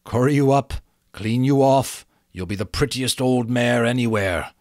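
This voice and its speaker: A man voicing a fat, simple, clumsy farmer in a storybook, coaxing with breathy exclamations. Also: medium pitch, measured pace, darkish tone, no room sound, studio quality, lightly acted. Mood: panting, coaxing. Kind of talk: storybook character voice.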